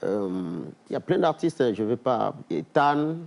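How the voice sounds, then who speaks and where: Un rappeur à l'accent togolais qui répond en entretien, avec des hésitations et beaucoup de « euh ». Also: male voice, mid-range voice, unhurried, gravelly. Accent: Togolese French. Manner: hesitant, many fillers, gets excited listing names. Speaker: rapper guest. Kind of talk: casual interview answers.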